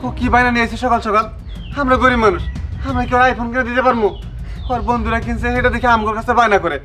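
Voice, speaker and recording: A man's voice: extremely high pitch, fairly even intonation, fast talker, sharp tone, soft background music. A man voicing an exasperated, poor labourer father in a cartoon drama, with a Bangladeshi accent and a complaining, scolding manner. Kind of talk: cartoon comedy drama dialogue.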